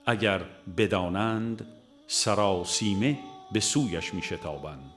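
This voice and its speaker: A man with a fairly low voice reads a spiritual book as an audiobook narrator. His delivery is measured, formal and solemn, with instrumental music playing behind him. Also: expressive. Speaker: audiobook reader. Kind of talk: scripted narration of a religious book.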